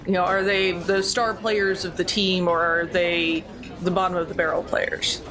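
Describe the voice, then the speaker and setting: A woman's voice: on the low side, level tone, moderate pace, crowd noise, poor audio. Woman player at a busy game table. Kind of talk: in-character questioning at a game table.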